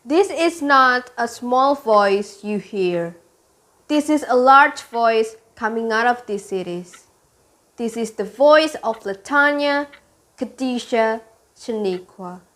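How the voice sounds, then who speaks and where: A young woman, a student performer, reciting a poem in a declamatory, deliberate way. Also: a little high, animated, a bit bright. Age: young adult. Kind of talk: dramatic poetry recitation.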